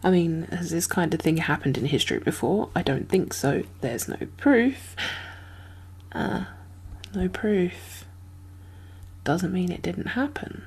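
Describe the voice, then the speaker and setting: A woman playing a caring, uneasy survivor of a zombie apocalypse in a soft-spoken ASMR roleplay, breathy and hesitant, often slipping into whispers. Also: on the low side, expressive, heavily acted. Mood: puzzled, musing. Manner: hesitant, musing, halting.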